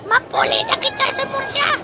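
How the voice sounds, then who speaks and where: A woman voicing an excitable, emotional young talking chicken in a comic radio drama. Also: very high-pitched, normal speed, a loud crowd, very noisy. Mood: plaintive, excited. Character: talking chicken, lost child of the flock, excitable.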